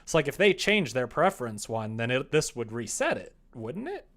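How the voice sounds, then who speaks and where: A man's voice: mid-range voice, big pitch swings, normal speed, fairly bright tone. A young man chatting casually on a livestream, thinking aloud as he works, informal and self-deprecating. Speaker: livestreamer coding a game. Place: indoors, small room at a computer.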